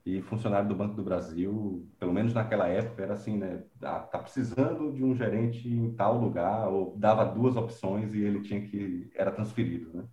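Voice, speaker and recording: A man's voice: on the low side, brisk, hardly any pauses, mellow, reverberant, poor audio. A man from Bahia, a composer and professor talking unhurriedly in an interview, thinking aloud with many fillers. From Bahia, Brazil. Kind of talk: relaxed conversational interview.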